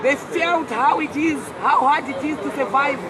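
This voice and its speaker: A tired woman, speaking haltingly and earnestly in an outdoor interview. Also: high-pitched. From Zimbabwe. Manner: halting, earnest, repeats words. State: hoarse and tired. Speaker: walk organizer being interviewed. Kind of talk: emotional street interview. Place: outdoors outside an embassy among a crowd. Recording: loud crowd noise outdoors.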